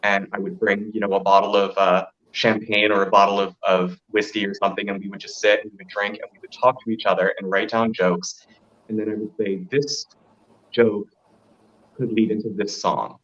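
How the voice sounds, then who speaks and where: A man with a deep voice telling stories casually, as an interview guest who performs in drag. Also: level tone, moderate pace, a bit bright. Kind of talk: casual interview chat, storytelling. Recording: dull, lo-fi audio.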